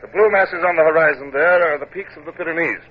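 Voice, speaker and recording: An actor playing a stern British naval captain in a radio drama, speaking in a clipped, formal, commanding way, with measured narration. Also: male voice, fairly high pitch, breathy edge. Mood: crisp, composed. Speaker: lead actor and first-person narrator. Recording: muffled, noisy vintage radio recording.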